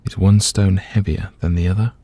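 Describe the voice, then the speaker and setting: A man gently and calmly leading a scripted guided meditation, letting his voice soften at the ends of phrases. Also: low voice, moderate pace, husky. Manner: gentle, calm, soothing, hushed at phrase ends.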